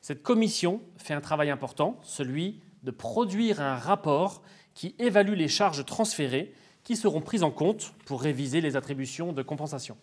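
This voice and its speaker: A man's voice: on the high side, expressive, moderate pace. A man giving formal, didactic explanations as an expert in an interview, in structured, prepared sentences.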